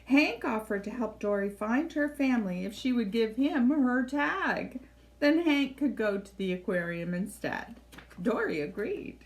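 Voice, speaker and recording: Higher-voiced woman reading a children's storybook. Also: lively intonation, unhurried. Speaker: library storybook reader. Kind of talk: expressive scripted read-aloud narration for children.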